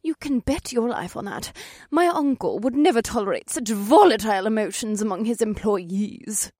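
A confident young noblewoman, played by a male narrator speaks with a breathy, husky edge. Her pitch moves in lively swings. She sounds assured and gossipy, like a storybook aristocrat. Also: normal speed, no echo, clean studio sound.

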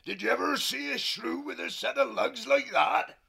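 Fat loud otter dude, high gravelly bellow, swings all over the place. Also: male voice, normal speed, crowd noise, exaggerated voice. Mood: teasing. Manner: rough rustic dialect, laughing. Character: huge fat jolly male otter, boisterous.

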